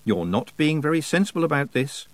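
A man's voice: on the high side, big pitch swings, fairly quick, no room sound, pitched above the performer's own voice. Storybook inner voice: sensible, persistent nag, dry close recording, male reader. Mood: sensible, nagging. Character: girl's nagging, calm, sensible inner voice. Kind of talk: audiobook character voice.

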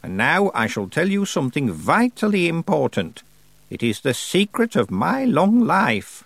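A man's voice: a little high, big pitch swings, gravelly, dry recording, voice pushed up, full character voice. Man voicing a stern ancient witch. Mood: scornful. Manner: slow, drawn-out, lofty delivery. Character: ancient stern female witch, sly and dry-humored.

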